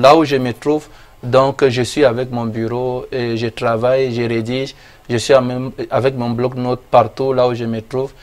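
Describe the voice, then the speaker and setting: A man from Cameroon whose first language is Arabic, answering an interviewer in a measured, devout manner. His voice stays level and flat, sitting at a middling pitch, and he mixes in Arabic religious phrases. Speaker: imam and author, interview guest.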